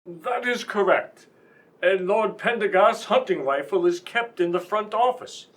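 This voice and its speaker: An actor playing a befuddled, blustering police inspector in a comic radio mystery. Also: male voice, sits high, unhurried, a bit bright. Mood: official. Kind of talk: old-time comic radio mystery acting.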